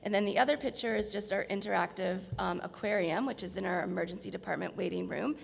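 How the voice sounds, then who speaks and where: Very creaky woman, slightly hoarse, presenting. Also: medium pitch, fast, slight background noise. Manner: explanatory, conversational. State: slightly hoarse, losing her voice. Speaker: presenter from hospital staff. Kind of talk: informal slide presentation at a conference. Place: large indoor presentation room. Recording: reverberant hall.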